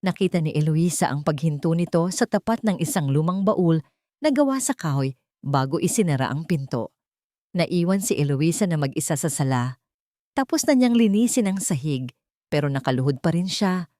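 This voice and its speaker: A woman's voice: deep voice, expressive, moderate pace, warm tone, dry recording, clean studio sound. A woman storyteller reading a scripted, dramatic narration of a love story.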